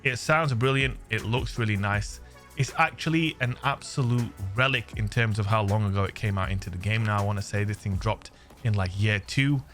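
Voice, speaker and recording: A man's voice: fairly low pitch, moderate pace, fairly bright tone, soft background music. A young English man, a little under the weather, giving chatty, slangy gameplay commentary to his viewers. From England. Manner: chatty, jokey, slangy, addresses viewers as friends. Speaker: gaming channel host.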